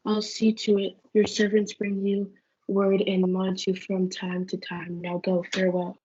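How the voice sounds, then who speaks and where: A woman's voice: medium pitch, monotone, measured pace, a little mellow, close to an everyday voice. A woman playing a steadying friar who gives firm counsel in a student readers theatre. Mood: firm, calming. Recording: muffled lo-fi home recording.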